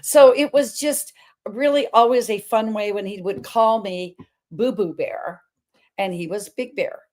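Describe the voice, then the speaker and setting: Woman, middle-aged, show host, big voice, energetic, emphatic, rambling personal monologue. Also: mid-range voice, measured pace. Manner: energetic, emphatic, wandering between topics.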